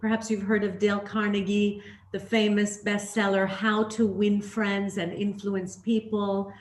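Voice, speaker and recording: A woman's voice: medium pitch, level tone, unhurried. A middle-aged woman raised in Canada, telling her career story as an interview guest in a candid, reflective way with frequent fillers and restarts. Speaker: interview guest, leadership trainer. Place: living in Greece. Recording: echoey room.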